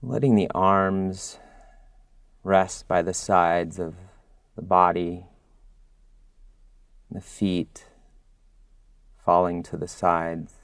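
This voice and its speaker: Very slow, low-voiced man guiding meditation. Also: fairly even intonation, dry recording, studio quality. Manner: gentle, unhurried, soothing, with long pauses. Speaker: mindfulness instructor. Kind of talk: guided body scan meditation.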